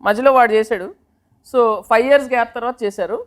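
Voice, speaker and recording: A woman's voice: a little high, fast. A woman with a Telugu accent chatting casually in a group interview, switching in and out of English. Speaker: film director in team interview.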